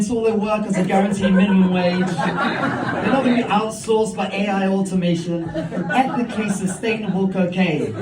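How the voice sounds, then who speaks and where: High-voiced man doing stand-up on a club stage. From London. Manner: punchy, self-mocking delivery. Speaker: stand-up comedian. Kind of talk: stand-up comedy set. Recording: roomy, distant, crowd and hum. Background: audience laughter.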